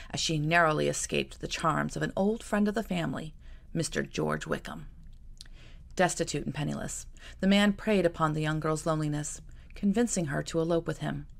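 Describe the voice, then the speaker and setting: A woman author reading her own novel aloud in a calm, measured storytelling manner. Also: low voice, vocal fry.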